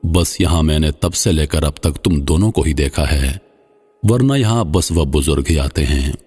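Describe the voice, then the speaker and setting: A man's voice: a bass voice, normal speed, darkish tone, breathy edge, soft background music. A man voicing a sheltered, naive young princess who innocently recounts her isolation in a narrated tale. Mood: innocent, unsure. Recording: long hall-like reverb.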